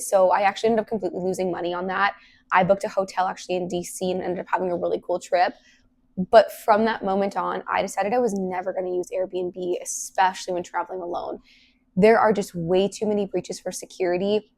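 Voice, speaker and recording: Hoarse young woman hosting a podcast. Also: medium pitch. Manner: casual, many "like" fillers, earnest. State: hoarse, partly lost voice. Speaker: podcast host. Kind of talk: solo podcast talk.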